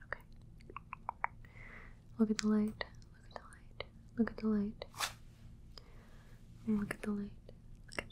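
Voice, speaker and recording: A woman voicing a condescending female alien doctor in a soft whisper, giving matter-of-fact instructions in an ASMR exam roleplay. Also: medium pitch, close to an everyday voice. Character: female alien doctor, clinical, condescending, studying human captive.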